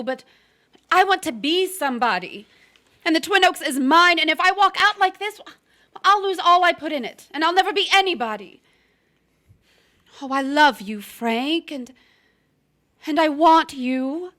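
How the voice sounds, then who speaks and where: A woman's voice: high-pitched, lively intonation, normal speed, long pauses, bright, forceful tone. A woman playing a scheming, passionate young wife in a crime drama, intense and pleading. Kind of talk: live radio-play style crime drama acting.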